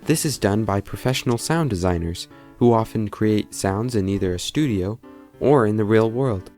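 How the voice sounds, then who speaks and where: A man delivers scripted documentary narration in a fairly low voice. Light music plays underneath him. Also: animated, moderate pace, dark tone.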